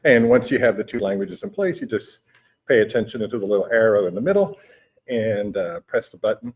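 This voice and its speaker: Husky-voiced man with a low voice. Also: brisk, dull audio. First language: Spanish. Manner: relaxed, explanatory, many hesitations and restarts. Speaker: guest, education technology company director. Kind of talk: conversational interview. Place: conference venue.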